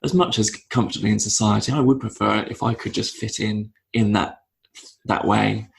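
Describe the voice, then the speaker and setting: Gravelly guest, thoughtful and halting. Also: male voice, fairly low pitch, steady tone. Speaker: podcast guest. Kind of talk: casual interview talk.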